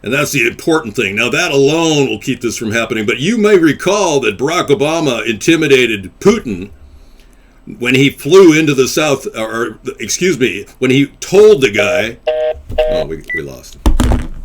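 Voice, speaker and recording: A man's voice: medium pitch, very animated, moderate pace. A man hosting a casual call-in web show, rambling and crude, calling people buddy. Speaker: host and presidential candidate.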